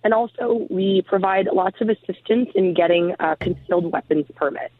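A woman's voice: mid-range voice, normal speed, no room sound. A woman whose voice is nearly gone from a cold, talking hesitantly with plenty of ums as she answers interview questions. She comes through a tinny phone line with a dry, close sound. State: lost her voice from a cold. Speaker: guest, program founder. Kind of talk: casual interview talk.

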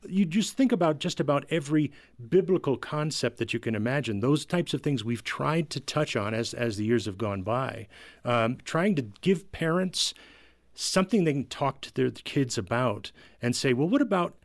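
Male guest, medium pitch, earnest conversational explainer, dry close sound. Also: animated, normal speed, no echo. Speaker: guest, audio drama team member. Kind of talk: conversational interview answers.

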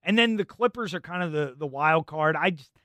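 Animated sports radio host, bright voice. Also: male voice, a little high, fairly quick, no echo. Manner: animated, conversational, many fillers. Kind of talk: sports talk radio banter.

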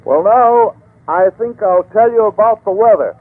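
An actor playing a pioneer wireless broadcaster calling over the air, in 1940s radio drama style with an early transmission effect. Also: male voice, sits high, moderate pace. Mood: proclaiming. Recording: thin, phone-like vintage radio.

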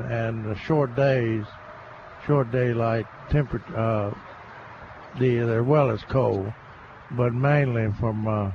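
Halting gardening expert on radio. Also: male voice, medium pitch, highs cut off, slight background noise. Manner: halting and explanatory, frequent restarts. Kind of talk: radio call-in chat, explaining plants.

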